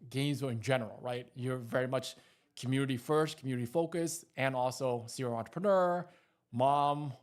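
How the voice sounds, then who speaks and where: A man's voice: mid-range voice, a little fast. A man hosting an interview in a studio, talking with many fillers and repeated words. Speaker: interview host.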